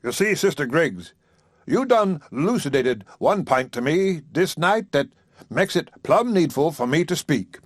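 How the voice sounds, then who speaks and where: Guy putting on a smug preacher, drawly and pompous, pitched a bit up, dry close sound. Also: big pitch swings, a little slow, no room sound. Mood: courting. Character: self-satisfied male preacher courting a widow.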